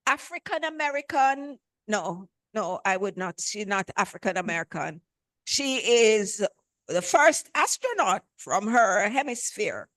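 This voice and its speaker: A woman's voice: a little high, no room sound. A woman host introducing a guest speaker with enthusiasm, and stopping now and then to correct herself. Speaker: event host.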